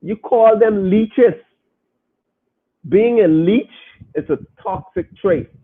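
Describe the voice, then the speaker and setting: A man's voice: high voice, animated, takes it slowly. A man hosting a podcast, talking emphatically and preaching directly to his listeners as he reacts to their comments. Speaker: podcast host. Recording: phone-like, narrow band.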